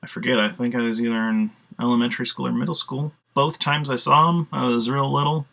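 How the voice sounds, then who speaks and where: Casual male vlogger, mid-range voice with some gravel, rambling and filler-heavy, swears easily. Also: medium pitch, little pitch movement, brisk, bright, forceful tone. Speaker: video blogger. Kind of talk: casual solo vlog talk.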